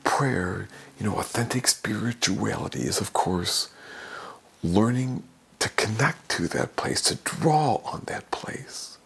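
An older man, a priest, giving a homily in a slow, gentle, reflective way, sometimes dropping to a soft whisper, from a small office. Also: on the low side, expressive, creaky, breathy edge. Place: small office with bookshelves, indoors.

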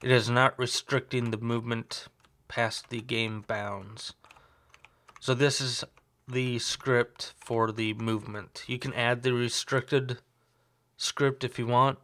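A young man with a slight sore throat, talking through a screen-recorded coding tutorial in a relaxed, explanatory way. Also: mid-range voice, takes it slowly. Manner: relaxed, explanatory, thinks aloud with fillers. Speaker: tutorial host.

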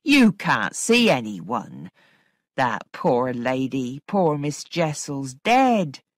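A protective older housekeeper from a storybook, played by a woman reader. She speaks low and slowly, with a stern, troubled weight on each deliberate phrase. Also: sing-song, dry recording, clean studio sound, full character voice. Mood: firm, soothing. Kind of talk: dramatic audiobook character acting.

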